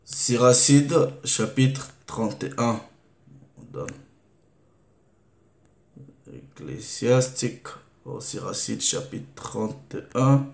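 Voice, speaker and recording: A man preaching, reading scripture slowly and deliberately and asking rhetorical questions. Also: medium pitch, animated, gravelly. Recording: echoey room.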